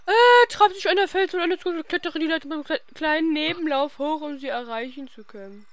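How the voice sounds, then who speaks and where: A young woman giving playful, casual game commentary in a silly, excitable manner. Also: high-pitched, brisk, no room sound. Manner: silly, excitable, breaking into exclamations and singsong. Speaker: let's play host.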